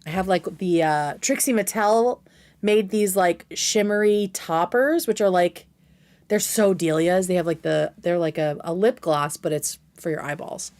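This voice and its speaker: A woman's voice: lowish voice, unhurried, darkish tone. A woman hosting a casual livestream, giving effusive, slangy commentary peppered with swearing. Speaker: livestream host reacting to a video.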